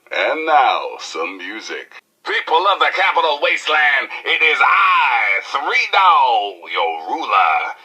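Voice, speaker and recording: Brash American disc jockey, streetwise, playful, punchy bright forceful tone, very animated. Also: male voice, fairly high pitch, full character voice. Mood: hyped, playful, then mock-grand. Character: brash, hyped-up, cheeky male rebel radio DJ. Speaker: radio DJ. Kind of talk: radio DJ patter.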